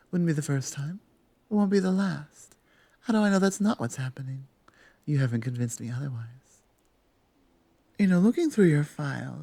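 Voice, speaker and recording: A woman playing a stern female minotaur guard captain with a soft heart in an ASMR roleplay, speaking deliberately with weighty pauses. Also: low-pitched, no room sound. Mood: interrogating, firm. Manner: deliberate, pausing, commanding. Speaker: audio roleplay performer. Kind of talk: ASMR roleplay acting, addressing the listener.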